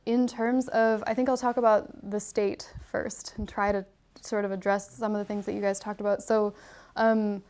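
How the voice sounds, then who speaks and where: A woman answering questions after her lecture in a measured, explanatory way. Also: medium pitch, little pitch movement, darkish tone, smooth. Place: lecture room.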